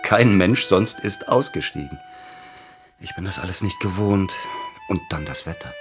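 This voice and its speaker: Low-voiced, courteous, brooding male pianist. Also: lively intonation, moderate pace, soft background music. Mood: weary, formal. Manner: formal, polite, hesitant. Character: celebrated pianist, a courteous, brooding bereaved husband. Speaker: radio drama actor. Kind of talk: German radio play acting.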